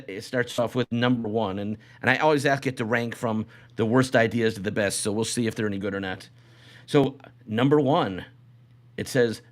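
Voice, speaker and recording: Man hosting a casual comedy podcast. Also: medium pitch. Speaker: show host.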